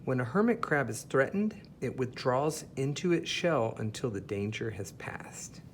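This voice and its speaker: A man reading a children's picture book aloud as an expressive, deliberate storyteller. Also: mid-range voice, a little hiss or hum. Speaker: storybook reader.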